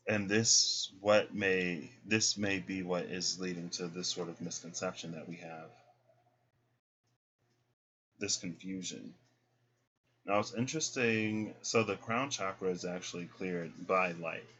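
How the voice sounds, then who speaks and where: Deep-voiced man giving a tarot reading. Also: unhurried. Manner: relaxed, explaining, frequent "right?" asides. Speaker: tarot card reader. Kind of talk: casual spiritual card reading. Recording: dull lo-fi sound.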